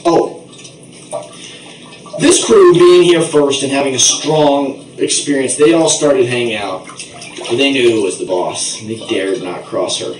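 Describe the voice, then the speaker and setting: A man improvising a comic story as a puppet show narrator, with a playful, rambling, theatrical delivery. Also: mid-range voice. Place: bathtub in a bathroom. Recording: echoey bathroom, distant noisy mic, water sounds.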